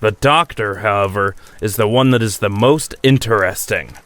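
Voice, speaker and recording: An actor in an audio drama playing a sceptical, hard-nosed US army colonel with a terse, interrogating manner. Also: male voice, lowish voice, moderate pace, a bit bright, no room sound, lightly acted. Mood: probing.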